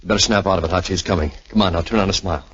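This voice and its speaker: An actor playing a glib, jaunty military flyer with a husky voice in a 1940s radio drama. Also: male voice, deep voice, steady tone, racing, fairly bright tone, slight background noise. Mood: forced cheer. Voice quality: husky, rough. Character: jaunty military flyer covering for his doomed buddy. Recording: dull old radio broadcast.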